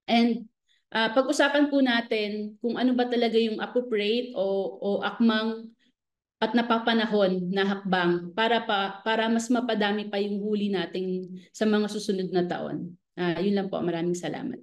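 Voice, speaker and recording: A woman's voice: medium pitch, fairly even intonation, moderate pace. A woman with a Filipino accent speaking as an expert guest in a radio interview, politely explaining and mixing Filipino with English.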